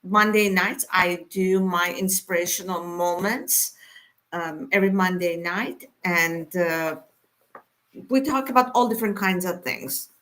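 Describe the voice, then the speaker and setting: A woman's voice: on the low side, a bit bright. A woman born in Iran hosting her weekly inspirational live stream, talking to viewers casually with many fillers. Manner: unhurried, many fillers, speaks straight to viewers.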